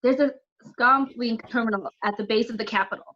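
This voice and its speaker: A woman playing a brisk, commanding young resistance tech fighter in a sci-fi audio drama. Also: fairly high pitch, bright, forceful tone, lo-fi sound. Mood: urgent. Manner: brisk, instructive.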